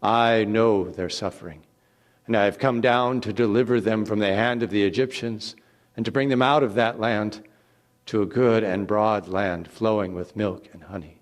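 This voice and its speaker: A man's voice: lowish voice, fairly even intonation, moderate pace, natural voice. A man voicing God in a scripture reading as a commanding, solemn divine figure, emphatic and declamatory. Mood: solemn, resolute.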